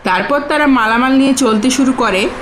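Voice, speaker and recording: A woman's voice: high voice, a little fast, a bit bright, slight background noise, rough recording. A woman narrating a scripted cartoon story as its storyteller.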